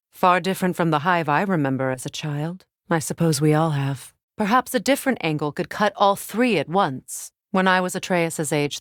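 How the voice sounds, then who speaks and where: An actress voicing a grave, caring Norse goddess and warrior mother in a video game, with measured, formal, thoughtful diction. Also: fairly low pitch, fairly bright tone, no echo, studio quality. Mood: reflective, wistful. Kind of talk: scripted game dialogue.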